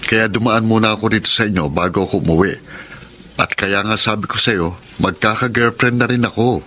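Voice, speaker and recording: A man's voice: lowish voice, brisk, pushed and bright, slight background noise. A man with a Filipino accent playing an easygoing, self-assured young suitor in a Tagalog radio romance drama, music behind him. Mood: confident.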